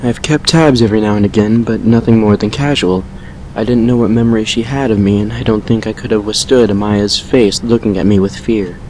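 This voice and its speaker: A man playing a brooding vampire who recounts a painful past with measured regret in an audio drama. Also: lowish voice, fairly even intonation, darkish tone, a little hiss or hum, lightly acted, poor audio. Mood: subdued, regretful. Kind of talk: fan fiction audio drama monologue.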